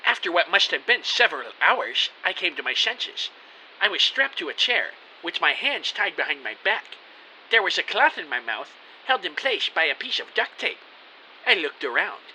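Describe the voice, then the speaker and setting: A high-pitched, very bright male narrator, acted by a man telling his story as an anxious, hapless everyman. The delivery is lively, earnest and scripted, over a faint hiss. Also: moderate pace, exaggerated voice, low-quality recording. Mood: tense narration. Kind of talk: dramatic first-person scripted narration.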